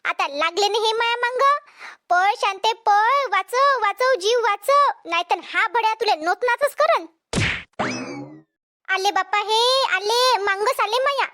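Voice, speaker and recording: Cartoon farm wife: extremely high childlike voice, Vidarbha accent, dramatic and complaining. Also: female voice, measured pace, sharp tone, exaggerated voice. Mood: panicked. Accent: Vidarbha Marathi. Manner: dramatic, complaining, repeats herself. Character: flustered, scolding village farm wife, cartoon comedy. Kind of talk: cartoon comedy dialogue.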